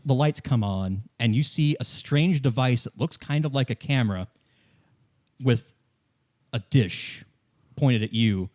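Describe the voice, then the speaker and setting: A man running a tabletop game, narrating scenes in a casual, halting, conversational way. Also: medium pitch, a little mellow. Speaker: game master.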